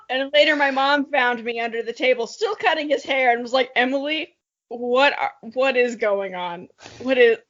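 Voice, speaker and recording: Young woman, podcast co-host. High voice, joking, casual read-aloud and banter, slightly dull lo-fi audio. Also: a bit bright. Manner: playful, joking. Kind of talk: reading a childhood story aloud, casual banter.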